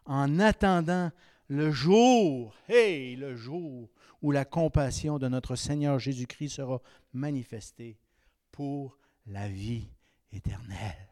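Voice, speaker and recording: A man in his fifties with a Québécois French accent, preaching a sermon that swings between raised exhortation and quiet, confiding asides. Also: mid-range voice, slow, no room sound, clean studio sound. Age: 50s.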